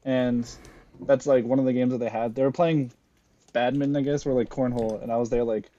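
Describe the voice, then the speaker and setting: A man's voice: mid-range voice, steady tone, fairly quick, warm tone, no room sound. A young man co-hosting a podcast, telling a story in loose casual chat with lots of fillers. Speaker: podcast co-host. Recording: dull lo-fi audio.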